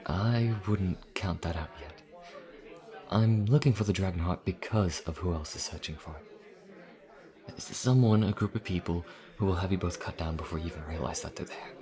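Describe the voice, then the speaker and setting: An actor playing a nervous, earnest vampire traveler in a fantasy audio roleplay, speaking hesitantly with stammers. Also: male voice, low voice, normal speed, crowd noise. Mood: earnest warning.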